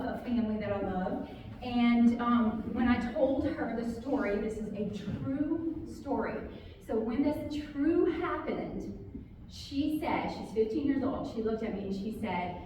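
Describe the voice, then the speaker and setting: Echoey, higher-voiced woman reading aloud. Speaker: children's book author reading aloud. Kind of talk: storybook read-aloud to a live group. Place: large reverberant room, live community gathering. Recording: very echoey hall, distant, faint noise.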